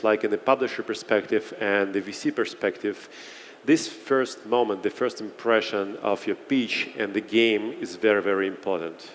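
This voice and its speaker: A man moderating a conference panel, talking haltingly and searching for his words. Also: lowish voice. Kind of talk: panel discussion. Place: conference hall. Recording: reverberant hall with faint noise.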